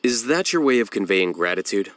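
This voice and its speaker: A man's voice: fairly low pitch, big pitch swings, a little fast, a bit bright, faint music behind. An actor playing a blunt, aloof male scholar who speaks in a dry, matter-of-fact way, in a video game.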